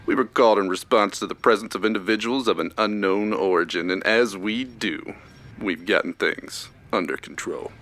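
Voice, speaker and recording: A man's voice: on the low side, normal speed. A man playing a boastful, commanding army captain giving a field report in a radio drama. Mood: proud, cocky. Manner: boastful, forceful.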